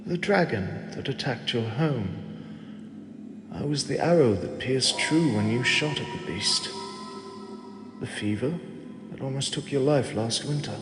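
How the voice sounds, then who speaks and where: A man voicing an ancient male god who speaks softly and slowly, fond and gently teasing, as if to a mortal he protects. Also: mid-range voice, animated, over music, lightly acted. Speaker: voice actor. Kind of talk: audio drama monologue. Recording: echoey with long reverb and clear ambience.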